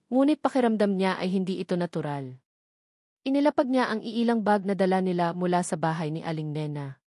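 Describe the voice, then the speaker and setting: A woman's voice: medium pitch, a little mellow, no room sound, studio quality. A woman reading scripted dramatic narration as a storyteller.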